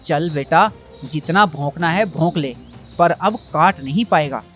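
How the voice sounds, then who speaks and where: A man's voice: on the high side, a little slow, fairly bright tone. Man playing a breezy, clever, lazy village lad. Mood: cocky. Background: background music.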